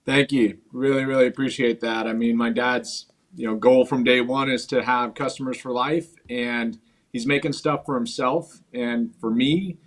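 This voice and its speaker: A man's voice: medium pitch, steady tone, normal speed. A man speaking as a guest in a casual interview, easygoing and earnest. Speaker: company CEO, guest.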